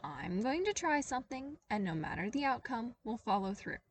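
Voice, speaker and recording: A woman's voice: a little high, sing-song, normal speed, recorded from a distance, a little hiss or hum, voice pushed up. A woman voicing a tired, sarcastic young woman intern, wry and a little whiny. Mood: exasperated.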